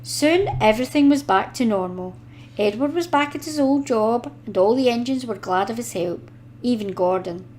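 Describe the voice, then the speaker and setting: A woman reading a children's storybook aloud in an expressive, deliberate storytelling manner. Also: fairly high pitch. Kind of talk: expressive scripted storybook narration.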